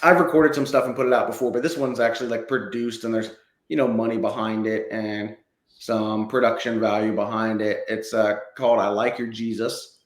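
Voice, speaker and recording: A man's voice: on the low side, fairly even intonation, moderate pace. A man in his late thirties, a comedian guest chatting in an informal, conversational way. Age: late 30s. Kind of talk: casual chat. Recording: echoey, roomy sound.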